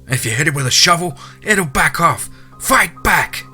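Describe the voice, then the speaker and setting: A man voicing a frantic, profane armed man who yells urgent orders at a stranger in a horror story. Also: a little high, animated, normal speed, soft background music, pitched above the performer's own voice. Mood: frantic yelling.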